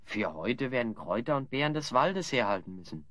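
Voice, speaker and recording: An actor playing a level-headed, dryly ironic adventurer hero in an audio drama. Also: male voice, mid-range voice, sing-song, a little fast, a bit bright, dry recording, studio quality. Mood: resigned, reassuring. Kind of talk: German audio drama acting.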